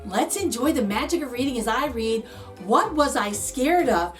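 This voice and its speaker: Animated, high-pitched woman reading to children. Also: far from the mic, soft background music. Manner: expressive, dramatic emphasis with drawn-out words and gasps. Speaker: children's read-aloud host. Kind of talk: animated picture-book storytelling for children.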